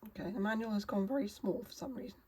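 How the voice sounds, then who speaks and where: A woman with a hoarse, wheezy voice from a cold, explaining a gadget in a casual vlog, halting and apologetic with many fillers. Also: medium pitch, darkish tone. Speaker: vlogger.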